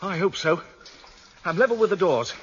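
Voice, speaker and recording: An actor playing a tense, sardonic boss making a dangerous climb and reporting back in halting, urgent speech, in a radio drama. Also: male voice, high voice, lively intonation, a bit bright. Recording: dull, noisy radio drama recording.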